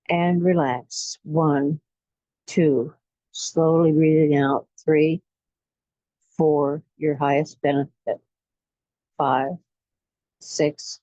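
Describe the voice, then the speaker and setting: A woman leading a guided relaxation meditation in a soothing, unhurried way, pausing between short phrases. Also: low-pitched, warm tone, dry recording, lo-fi sound. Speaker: guided imagery facilitator.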